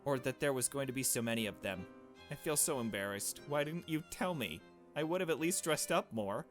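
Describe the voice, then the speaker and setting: A man's voice: medium pitch, expressive, fairly quick, soft background music, pitched above the performer's own voice, natural voice. A man voicing an awkward, easily embarrassed young visual novel protagonist, hesitant and self-conscious.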